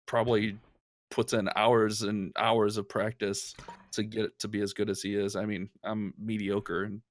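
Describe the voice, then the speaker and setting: A man's voice: on the low side, little pitch movement, moderate pace, no room sound. A man hosting a casual gaming chat show, talking in a chatty, upbeat way with lots of fillers. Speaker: host.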